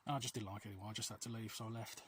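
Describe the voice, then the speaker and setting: A man chatting casually and confessionally while out walking, hesitant, rambling and self-deprecating, recorded outdoors on a quiet street. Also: on the low side, fairly even intonation, very fast. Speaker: vlog host. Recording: noisy outdoor recording with clicks.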